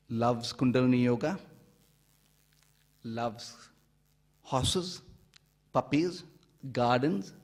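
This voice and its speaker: A man giving a formal stage introduction as event presenter, speaking in a measured, ceremonious and flowery way. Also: medium pitch.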